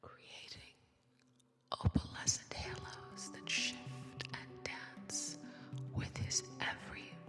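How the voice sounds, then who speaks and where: A woman whispering a story in a soft-spoken, hushed and deliberate ASMR style, close to a binaural microphone. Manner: slow, hushed, deliberate delivery with careful pauses. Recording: long reverb over music bed. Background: music.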